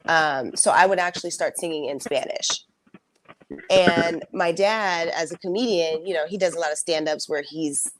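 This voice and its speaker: A young woman singer telling her story in a casual interview, soft-spoken and reflective, with frequent fillers. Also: low voice, a little hiss or hum, poor audio. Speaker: singer and songwriter guest.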